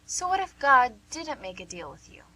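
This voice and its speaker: An actress with an American accent playing a young, inquisitive woman who gently probes in a conversational way, in a radio play. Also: high-pitched, sing-song, moderate pace, no echo, full character voice, poor audio. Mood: gently challenging. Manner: questioning, conversational. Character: young, inquisitive, gently probing female listener.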